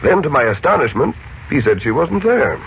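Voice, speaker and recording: An American actor playing a calm, confident middle-aged mystic hero and kindly uncle in a 1940s radio serial, speaking in a measured, reassuring way. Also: male voice, mid-range voice, vocal fry. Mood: pensive, explaining. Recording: muffled, noisy late-1940s radio recording.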